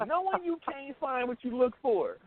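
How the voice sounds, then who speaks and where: Male radio co-host, American, notably high voice, informal and emphatic delivery, thin telephone-band recording. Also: very animated, normal speed, no echo. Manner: colloquial, emphatic, repeats phrases for effect. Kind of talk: casual radio discussion. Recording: narrow phone-like sound.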